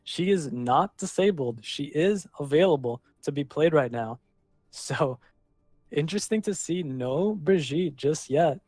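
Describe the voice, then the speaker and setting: Slightly high-voiced male esports caster. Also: animated, measured pace, a little mellow, no echo. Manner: rambling, self-deprecating asides between calls. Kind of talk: live game commentary.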